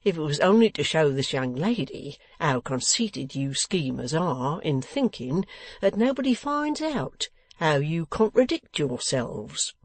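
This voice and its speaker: A woman voicing a blustering, contemptuous older rich man in an audiobook, loud, mocking and emphatic. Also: deep voice, sing-song, measured pace, no room sound, exaggerated voice. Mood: scornful. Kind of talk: dramatised audiobook reading.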